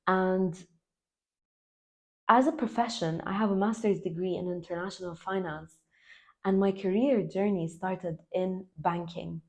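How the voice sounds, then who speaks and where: A woman in her thirties from Lebanon, raised in the UAE, talking to camera as a self-love coach in an earnest, slow and emphatic way. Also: fairly low pitch, steady tone. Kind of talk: spiritual vlog talk to camera.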